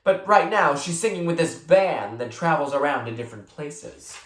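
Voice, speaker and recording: Storyteller as self-important young girl with worldly airs. Animated, offhand, slightly echoey storybook read. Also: medium pitch, moderate pace, lightly acted. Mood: boastful. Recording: roomy echo.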